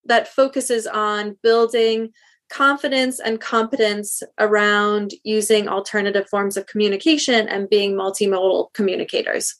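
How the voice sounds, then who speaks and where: A woman's voice: medium pitch, little pitch movement, normal speed. A woman from South Africa, a guest in an interview, talking earnestly about her work and stopping often to rephrase. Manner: earnest, thoughtful, with many restarts. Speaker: guest, speech language pathologist. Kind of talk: interview answers.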